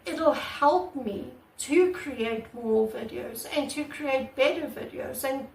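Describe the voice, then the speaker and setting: A woman artist with a croaky voice, talking casually and earnestly to her channel's viewers, recorded on a camera mic without a proper microphone. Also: high-pitched, slow, darkish tone, recorded from a distance. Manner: earnest and repetitive, many restarts. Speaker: artist vlogger. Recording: echoey room, away from the mic.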